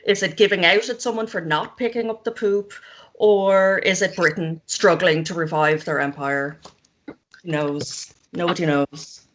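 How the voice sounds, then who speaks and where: A woman's voice: on the low side, fairly even intonation, unhurried, a bit bright. An Irish woman chatting as a guest, rambling and self-deprecating, laughing often between thoughts. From Ireland. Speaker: guest zine maker. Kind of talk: casual video-call interview.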